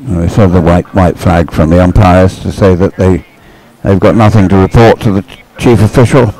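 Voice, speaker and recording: A man's voice: low voice, gravelly, mellow, rough recording. A man giving casual, explanatory live race commentary as a sports commentator.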